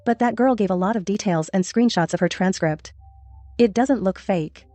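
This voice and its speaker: Woman acting chatty junior college girl, considerate, soft mellow tone, faint music. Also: mid-range voice, moderate pace, no room sound, natural voice. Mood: casual, curious. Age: young adult. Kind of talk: dubbed drama acting, casual chat.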